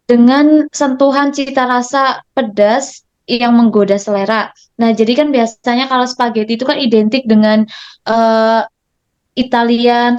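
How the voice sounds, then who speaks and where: A woman's voice: a little high, clean tone, dull audio. A young woman student presenting in class, mixing read text with casual asides and many hesitations.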